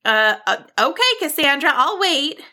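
A woman's voice: high voice, normal speed, sharp tone, pitched above the performer's own voice, exaggerated voice. A woman voicing a small, friendly female bird in a children's story, chirpy and agreeable. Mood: confused.

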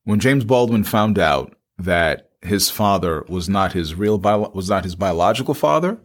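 Male podcast co-host with a low voice, conversational and emphatic, addressing the audience and doubling phrases. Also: animated, normal speed.

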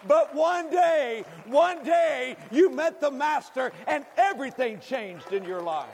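A man in his fifties preaching a sermon, speaking emphatically with deliberate repetition and addressing the congregation directly. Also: extremely high pitch, lively intonation, creaky. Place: large hall, preaching to a congregation. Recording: long hall reverb.

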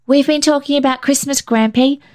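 A woman's voice: high-pitched, fairly even intonation, a little fast, darkish tone, pitched above the performer's own voice, close to an everyday voice. A woman voicing an eager little boy talking to his grandfather in a storybook reading.

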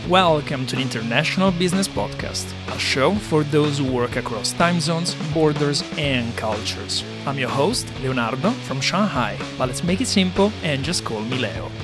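A man's voice: a little high, lively intonation, moderate pace, few pauses, over music. A man hosting a business podcast, reading a scripted intro and then asking his guest questions. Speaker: podcast host.

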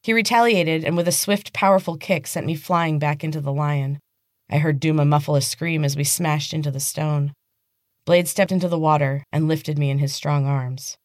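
A woman's voice: sits low, fairly even intonation, moderate pace, darkish tone, no room sound, studio quality. A woman reading fantasy fiction aloud as a dramatic, scripted audiobook narration. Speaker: podcast host and author reading own novel.

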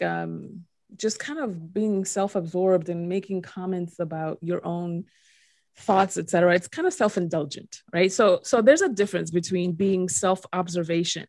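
Woman, slight Dominican accent, gravelly low tone, quick explanatory talk. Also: a little mellow, no echo. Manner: quick, explanatory, peppered with "right?". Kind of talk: casual podcast chat.

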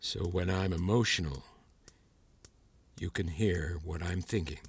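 Measured, controlling male mentor. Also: medium pitch, a bit bright, dry recording. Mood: probing, intent. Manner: measured, didactic, teacherly. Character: erudite male psychology mentor, probing, patronising, controlling. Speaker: voice actor in a fiction podcast. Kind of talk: acted audio drama dialogue.

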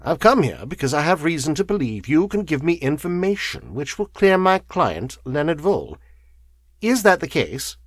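Casting a man as a stern storybook lawyer: cold, measured delivery, strongly inflected, close dry sound. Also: a little high, sing-song, no room sound, voice pushed up. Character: stern, cool, methodical male lawyer.

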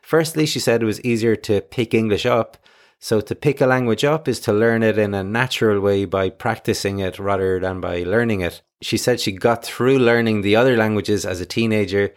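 Man, host, English teacher, scripted explanation, clear, low-pitched. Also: normal speed.

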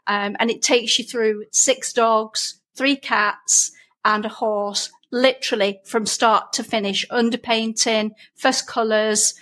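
A woman's voice: a little high, steady tone, slow pace, a bit bright. A middle-aged woman with a broad Lancashire accent, chatting and explaining things in a casual interview. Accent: broad Lancashire English. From Lancashire, England. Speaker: interview guest, painting teacher.

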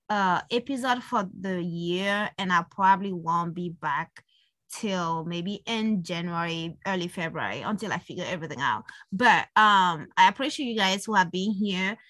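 Bright-voiced woman hosting a casual podcast. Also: medium pitch, no room sound. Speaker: podcast host.

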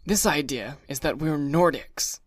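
A man voicing a wealthy young husband, cross and brutish, with a gruff, insistent, pompous manner. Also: on the high side, a little slow, no echo, clean studio sound. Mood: blustering.

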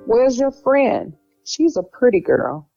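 A woman's voice: a little high, very animated, slow, vocal fry, dark tone, exaggerated voice. A woman playing a sassy, judgmental young sister in an audio drama. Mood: gossipy, scornful. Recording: slightly dull audio, music underneath.

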